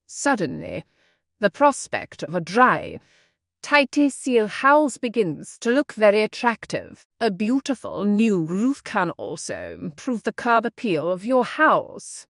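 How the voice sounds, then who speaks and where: A woman's voice: mid-range voice, wildly swooping pitch, takes it slowly, no room sound, clean studio sound. A woman reading scripted practice passages aloud as a model for an exam exercise.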